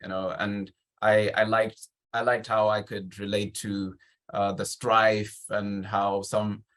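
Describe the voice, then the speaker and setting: Guy from zimbabwe doing a chatty interview, lowish voice, effusive, questions come out in bits. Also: flat delivery, takes it slowly, fairly bright tone. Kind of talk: conversational interview.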